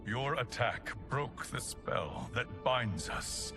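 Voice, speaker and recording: An actor playing a grave, noble emperor returned from death in a video game cutscene. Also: male voice, mid-range voice, sing-song, slow. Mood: grave, commanding. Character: noble resurrected emperor, grave, devoted father and husband. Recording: loud music behind the voice.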